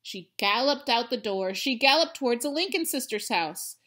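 Animated woman reading a children's storybook. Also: a little high. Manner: expressive, with emphatic pauses. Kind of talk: animated children's read-aloud. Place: indoors, living room at home.

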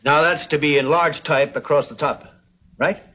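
An actor playing an older American frontier newspaperman in a TV western, folksy, dry and deliberate. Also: a little high, fairly even intonation, pushed and bright. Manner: folksy, reads copy aloud deliberately. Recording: dull old television soundtrack.

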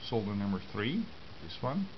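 A man giving an informal step-by-step tutorial in short, halting phrases, picked up by a camera's built-in microphone. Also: fairly low pitch, big pitch swings. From Netherlands. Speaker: amateur radio hobbyist giving a tutorial. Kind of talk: informal step-by-step explanation of hand-drawn diagrams. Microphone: compact camera's built-in microphone. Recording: muffled camera microphone with hiss.

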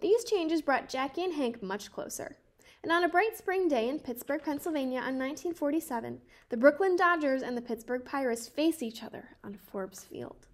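A woman in her twenties reading a children's picture book aloud in a careful, measured way. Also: high voice, lively intonation. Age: 20s. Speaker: storybook reader.

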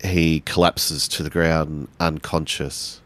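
A man playing a tabletop role-playing game, speaking for and narrating his character in a measured, halting way. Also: very deep voice, level tone, fairly bright tone.

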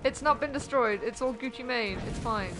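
A woman's voice: a little high, moderate pace, low-quality recording. A woman streaming an online battle game, chatting with a friend, swearing and laughing in frustration. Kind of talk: casual gaming chat with a friend. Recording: game music loud behind the voice. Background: game music and sound effects.

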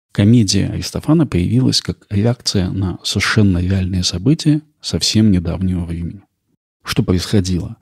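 A man hosting a podcast with measured, explanatory scripted documentary narration. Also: fairly low pitch, vocal fry, a little mellow, breathy edge, dry recording, clean studio sound. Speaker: podcast host.

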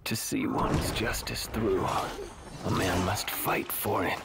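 An actor playing a frail, brooding young poet in a video game, speaking in a solemn, measured, literary way. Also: male voice, on the low side, steady tone, gravelly, over loud music. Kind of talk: dramatic video game voice acting.

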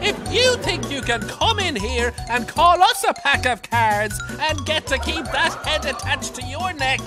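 An actor with a Dublin Irish accent playing a sharp-tongued, vain, irritable pantomime dame in an audio drama, camp and exasperated. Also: male voice, very high-pitched, expressive, unhurried, music in the background. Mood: broad stage performance.

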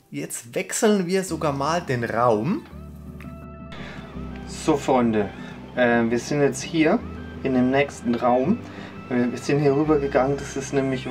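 A woman with a deep, low voice hosts a home tech review, talking in a loose, rambling way. She keeps tacking on little "right?" asides and trails off mid-thought. Music plays clearly underneath. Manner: rambling, chatty, hedging with "ne?" and fillers. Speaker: tech channel host. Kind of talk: casual product review vlog. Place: indoors at home, moving between rooms.

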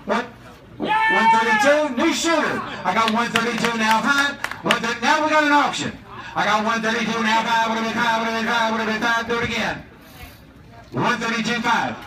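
A man's voice: extremely high pitch, brisk, background noise. An American man working as an auctioneer, chanting repetitive, rhythmic bids to a live crowd and pushing bidders along. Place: outdoors on a marina dock. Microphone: picked up by a distant camera. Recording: distant, echoey outdoor recording with crowd.

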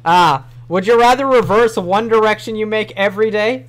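A man's voice: high voice, fairly even intonation, normal speed, a bit bright, low-quality recording. A young man with a New York accent, chatting casually on a livestream from a small room, rambling and swearing offhandedly. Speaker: streamer.

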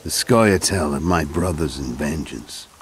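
Casting: huge fantasy-game killer, bottomless bass, unhurried menace, calm confidence, faint ambient noise. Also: male voice. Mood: cold, menacing. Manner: deliberate, coolly confident. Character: hulking, calm, menacing male assassin. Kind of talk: video game acting.

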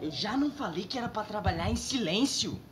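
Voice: mid-range voice, animated, a little fast, natural voice. A performer voicing an angry, menacing male homeowner who scolds in a cartoon comedy. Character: angry, threatening male homeowner demanding silence. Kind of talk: cartoon sketch comedy dialogue. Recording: noisy background, clicks.

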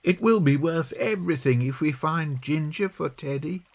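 A man's voice: a little high, moderate pace, voice pushed up. A man voicing a meek, uneasy young boy in a children's storybook, agreeable and a little hesitant. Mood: determined.

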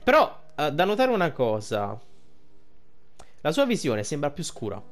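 A man giving casual, informal game commentary, halting and correcting himself as he translates dialogue on the fly. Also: fairly high pitch, huge pitch swings, faint music behind. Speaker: video game streamer.

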